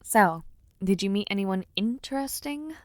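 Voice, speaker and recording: A woman's voice: mid-range voice, lively intonation, a little slow, a little mellow, no echo, clean studio sound, natural voice. A young woman voicing a spirited, playful noblewoman knight in an audio roleplay, chatty and teasing. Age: young adult.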